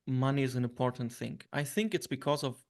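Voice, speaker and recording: Syrian guy, gravelly voice, lots of ums and restarts in an interview. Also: mid-range voice, a little fast, a little mellow. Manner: hesitant, many fillers and restarts. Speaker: interview guest. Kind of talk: reflective interview answers.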